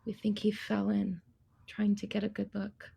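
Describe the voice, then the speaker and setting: A woman performing a wistful childhood memory as a theatrical poetic monologue, in a measured, husky, creaky voice. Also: medium pitch, completely flat delivery, warm tone, exaggerated voice.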